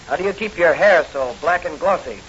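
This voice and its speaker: An actor playing a tough, brisk policeman hero in clipped, wisecracking 1940s radio style. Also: a little high, fairly bright tone, natural voice. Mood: dry, needling. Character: tough, brisk male policeman and masked crime-fighting hero. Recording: muffled, hissy old radio recording.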